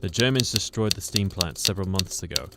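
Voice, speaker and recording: An earnest, courteous soldier played by a man in a wartime radio play. His voice is low, and the amateur recording is very noisy. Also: lively intonation, fairly quick, gravelly. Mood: sober narration. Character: earnest, polite male soldier recounting his wartime story. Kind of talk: radio drama dialogue and scripted narration.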